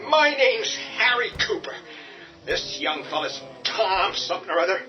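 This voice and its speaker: Man doing defensive panicky man, shouting, high voice, distant, lo-fi, faint music. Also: sing-song, moderate pace. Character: defensive, panicky middle-aged man from the cellar.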